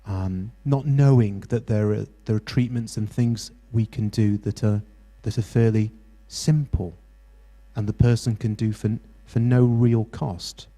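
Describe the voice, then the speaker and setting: British male facilitator, mellow, encouraging, halting delivery, faint mains hum. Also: lowish voice, steady tone. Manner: encouraging, halting with many restarts. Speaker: workshop facilitator. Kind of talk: interactive workshop teaching. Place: university hall workshop.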